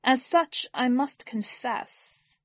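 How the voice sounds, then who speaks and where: A woman voicing a towering, owl-like alien envoy who speaks in a calm, formal, measured way. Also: sits high, dry recording, pitched above the performer's own voice, exaggerated voice. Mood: earnest.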